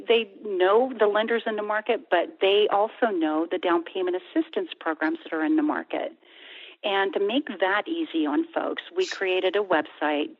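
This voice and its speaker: A woman guest on a radio show, explaining steps in a steady, informative way. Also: on the low side. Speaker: bank executive guest. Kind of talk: radio interview over the phone. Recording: tinny phone line.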